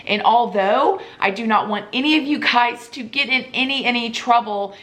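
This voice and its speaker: A young woman vlogging to camera, hoarse from losing her voice and chatty, pleading earnestly with her viewers. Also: on the high side, moderate pace, fairly bright tone. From Florida. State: losing her voice, sore throat. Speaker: YouTube vlogger. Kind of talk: casual chat to camera.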